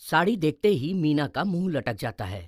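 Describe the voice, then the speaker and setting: Lively male story narrator, slightly high-pitched. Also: moderate pace, dry recording, clean studio sound. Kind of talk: scripted storytelling narration for animated moral story.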